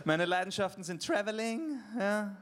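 A man's voice: high-pitched, lively intonation, moderate pace, a bit bright. A young man from Vienna with a Viennese accent doing a joking stand-up set, talking to the crowd on a club stage. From Vienna, Austria. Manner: loose, joking, talks to the crowd. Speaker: stand-up comedian.

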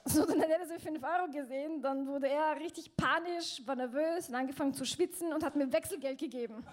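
A woman's voice: sits high, brisk. A young woman doing self-mocking stand-up with hesitant fillers. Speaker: stand-up comedian. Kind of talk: stand-up comedy set. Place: comedy club stage.

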